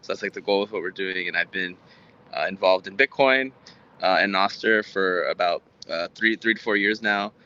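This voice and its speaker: An American man in his twenties, a native Spanish speaker from Texas, answering interview questions in a halting, rambling way with stammered repeats. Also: deep voice, bright, forceful tone, highs cut off. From Houston, Texas, United States. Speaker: guest, tech startup founder.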